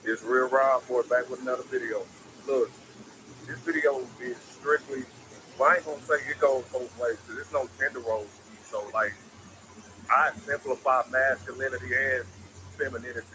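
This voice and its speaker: A man's voice: medium pitch, fairly even intonation, moderate pace, background noise. A man, giving a casual, preachy motivational monologue full of repetition and emphasis. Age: 20s. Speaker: motivational vlogger.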